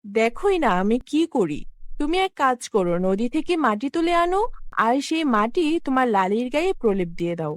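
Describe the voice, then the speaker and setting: A woman voicing a benevolent, gently bossy magical fairy who gives grand instructions in a cartoon fairy tale. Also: on the high side, big pitch swings, normal speed, dry recording, clean studio sound. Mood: solemn.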